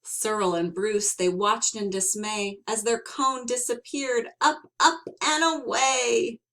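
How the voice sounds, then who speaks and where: A woman reading a children's picture book aloud as an expressive storyteller, with dramatic emphasis and drawn-out words. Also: a little high.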